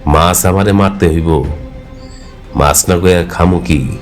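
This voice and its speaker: A man's voice: sits low, slow pace, soft background music. A man voicing a stubborn, hot-headed village fisherman in a cartoon, indignant and brash, with a rural Bangladeshi Bengali accent. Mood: defiant. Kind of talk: cartoon character dialogue.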